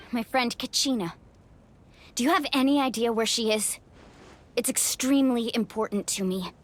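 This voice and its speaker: A woman's voice: a little high, slight background noise, lightly acted. A woman voicing a loyal, defiant young woman in a video game, earnest and fiery. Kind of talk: game voice acting.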